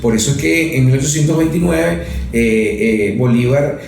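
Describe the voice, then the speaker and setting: A man's voice: medium pitch, normal speed, gravelly, darkish tone, slight background noise. Lecturing Venezuelan man in echoey room. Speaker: professor and politician, guest. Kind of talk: discursive political-history explanation in conversation.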